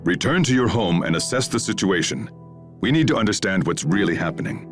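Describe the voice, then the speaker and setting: A man playing a steady, authoritative middle-aged knight captain in a video game, formal and measured. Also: sits low, music in the background. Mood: authoritative, kindly. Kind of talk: English video game voice acting.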